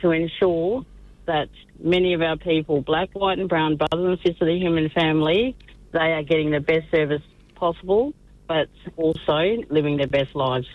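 A woman's voice: low voice, steady tone, moderate pace, no room sound. A woman candidate speaking earnestly in a phone-in radio interview, with some fillers. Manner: earnest campaign speech with fillers. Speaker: election candidate, guest. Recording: tinny dry phone line.

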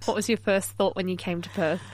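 A woman's voice: medium pitch, steady tone, brisk. Curious woman interviewer. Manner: friendly, curious questions. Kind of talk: interview questions.

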